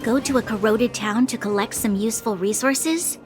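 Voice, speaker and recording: A woman playing a calm, helpful young adventurer in a video game, speaking in an earnest, composed way. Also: fairly high pitch, moderate pace, natural voice. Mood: resolute. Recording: music behind the voice.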